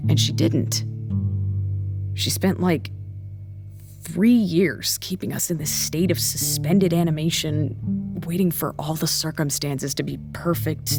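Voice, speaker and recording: An actor playing a jaded, blunt woman in an audio drama, candid and sardonic, giving halting tough-love advice. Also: sits low, creaky. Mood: bitter, wistful. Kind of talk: naturalistic audio drama dialogue.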